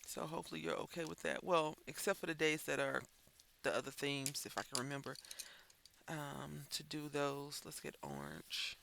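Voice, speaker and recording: A man's voice: fairly high pitch, expressive, normal speed, vocal fry, bright, forceful tone, slight background noise. A man chatting while coloring as host of a crafting channel, rambling and thinking aloud, sounding stuffy and congested. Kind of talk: casual chat while coloring.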